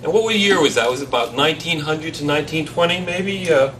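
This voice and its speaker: A man with a foreign accent teaching a seminar on trial practice, animated and quizzing the audience as he goes. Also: fairly high pitch, fairly quick, recorded from a distance, noisy background. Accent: foreign accent, not native English. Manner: animated, repeats himself, addresses the audience with questions. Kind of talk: lively seminar talk to a room.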